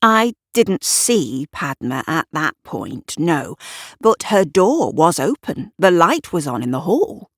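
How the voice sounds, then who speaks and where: A woman's voice: low voice, big pitch swings, slow pace, a bit bright, no room sound, exaggerated voice. Woman doing a nosy neighbour lady in an audio drama, very sure of herself, gossipy, emphatic, dry sound. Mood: certain, defensive. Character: self-assured, nosy neighbour woman giving witness statement.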